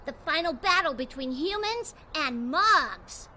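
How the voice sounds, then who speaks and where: Give me a solemn, high-voiced blind cultist priestess. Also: female voice, expressive, measured pace, pushed and bright, a little hiss or hum, heavily acted. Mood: solemn, grand.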